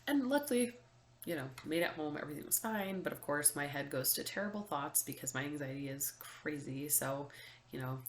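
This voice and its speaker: Congested low-voiced woman vlogging. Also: expressive, brisk, reverberant. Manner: chatty, many ums and likes, laughs often. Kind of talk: casual chat to camera. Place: room with window open, indoors.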